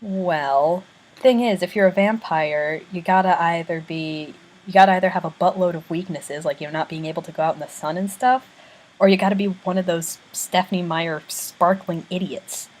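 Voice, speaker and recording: A woman's voice: fairly low pitch, moderate pace, a little mellow, low-quality recording. Chatty young woman on a webcam. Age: young adult. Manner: chatty, frequent ums, self-deprecating asides. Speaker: vlogger. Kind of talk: casual chat and reading aloud. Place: small bedroom with bunk bed, indoors. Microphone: built-in webcam mic.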